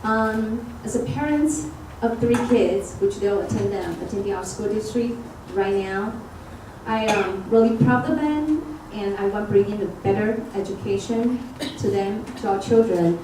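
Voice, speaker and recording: A woman's voice: mid-range voice, unhurried. A woman with a Chinese accent, a school board candidate, giving an earnest forum statement in non-native phrasing. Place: outdoor park event. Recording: echoey, far from mic, noisy.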